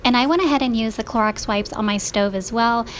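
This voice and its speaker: A woman's voice: a little high, brisk. A woman narrating her household chores in a conversational, explanatory voice-over, as a home vlogger would. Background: music behind the voice-over.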